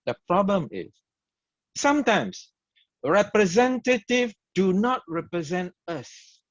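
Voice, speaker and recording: A man with a Malaysian English accent, hosting and opening an online speech event in measured, emphatic short phrases. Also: a little high, no room sound. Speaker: event host and organizer. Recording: dull, lo-fi livestream audio.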